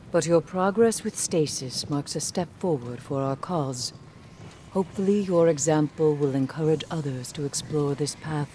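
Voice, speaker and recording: Slow, mellow, solemn mentor. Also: female voice, sits low, a little hiss or hum. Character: solemn, mysterious mentor urging use of darkness. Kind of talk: dramatic video game voice acting.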